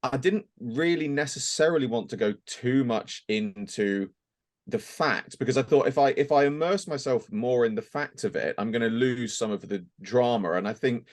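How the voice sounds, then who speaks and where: A man's voice: mid-range voice, moderate pace. A man answering as a voice actor in a casual interview, candid and a little rambling. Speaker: voice actor and podcast guest.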